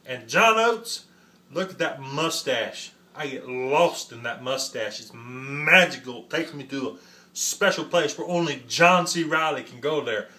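A man's voice: mid-range voice, lively intonation, unhurried, bright, forceful tone. A man impersonating an earnest, goofy American actor gushing dreamily about music. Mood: awed, dreamy.

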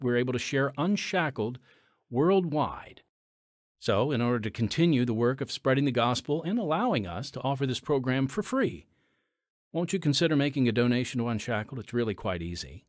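A man's voice: medium pitch, no echo, studio quality. A man hosting, making an earnest, conversational donation appeal to listeners. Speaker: host.

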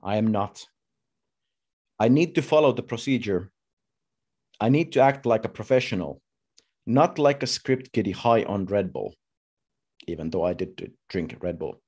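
A man in his thirties giving a personal talk as a speaker, telling his story in short, halting, deliberate phrases. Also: fairly low pitch, lively intonation, darkish tone, breathy edge. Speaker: conference speaker. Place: indoors at home, video call.

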